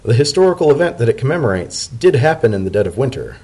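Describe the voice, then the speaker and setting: A man's voice: mid-range voice, sing-song, dark tone, husky. A man voicing a polite, thoughtful pony diplomat in a storybook reading, speaking formally and sincerely. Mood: earnest, measured. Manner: formal, measured, sincere speech. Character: earnest, polite male pony civil servant, thoughtful diplomat.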